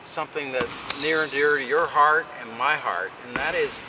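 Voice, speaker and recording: An American man conducting a conversational sports radio interview, with halting, drawn-out phrasing. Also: mid-range voice. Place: basketball fieldhouse. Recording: clear ambient noise of a gym.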